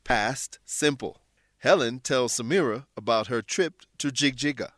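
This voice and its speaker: Male lesson narrator: mid-pitched, deliberate, instructional scripted read, close dry sound. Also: a bit bright, no room sound. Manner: deliberate, instructional, clearly worded. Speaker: narrator and English teacher.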